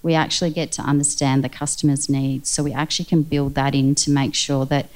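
A woman's voice: low voice, flat delivery, brisk, darkish tone. A woman speaking earnestly as a panel guest, leaning on the word actually. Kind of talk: panel discussion.

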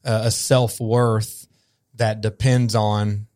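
A man's voice: lowish voice, flat delivery, drawn-out words, a little mellow, dry recording, clean studio sound. A man from Memphis, a guest on a podcast, talking earnestly and thoughtfully and often restarting his sentences. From Memphis, USA. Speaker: guest, coach. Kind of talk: reflective podcast conversation.

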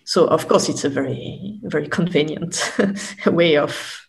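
Hesitant woman academic, gravelly creak. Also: deep voice. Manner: halting, repeats words while searching for phrasing. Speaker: academic expert guest. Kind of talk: explanatory interview conversation.

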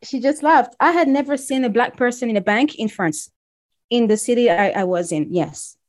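A woman in her thirties, originally from Congo, talking casually as an interview guest, telling her story warmly with frequent restarts. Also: on the high side, normal speed.

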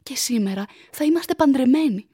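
Young fiancée, radio drama, pious, anxious, tenderly reproachful, formal, mellow tone, dry studio sound. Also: female voice, sits high, animated, breathy edge, no echo. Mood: wistful reproach. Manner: earnest, formal, pleading.

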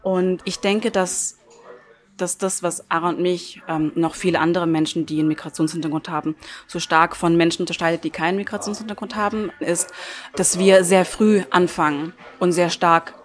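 Thoughtful young woman from near Stuttgart. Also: lowish voice. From Germany, near Stuttgart. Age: 20s. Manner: thoughtful, with fillers and restarts. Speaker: guest, psychology student. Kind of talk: interview answers.

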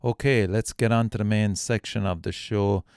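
A man's voice: fairly low pitch, steady tone, moderate pace, darkish tone, smooth, no room sound, clean studio sound. A man hosting a music podcast, talking with enthusiastic, effusive praise. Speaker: podcast host. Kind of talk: music podcast talk and interview.

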